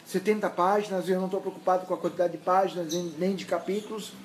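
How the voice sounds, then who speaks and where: A mature man with a Santos Brazilian accent and a fairly high voice. He answers as a candidate being interviewed, emphatic but halting, often repeating words as he searches for the next. There is clear noise on the recording, made at home. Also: steady tone. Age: middle-aged or older. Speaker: mayoral candidate and university lecturer. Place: indoors at his home.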